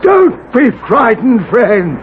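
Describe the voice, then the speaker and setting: A sly, commanding dwarfish demon in a 1970s radio play. The voice is very high and slow, relishing each theatrical, tempting phrase. It comes through a thin, noisy old broadcast recording. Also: male voice, very animated, narrow, tinny audio, full character voice. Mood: coaxing, prying. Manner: theatrical, commanding, cackling, archaic speech. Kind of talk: 1970s radio drama acting.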